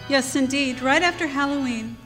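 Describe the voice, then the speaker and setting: A woman's voice: high voice, normal speed, a bit bright, faint music behind. A woman playing a sweet, gushing younger sweetheart in an old-time radio drama, coy and cooing. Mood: sweet, eager. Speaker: actress in a live radio play. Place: club hall stage. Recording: noisy reverberant hall.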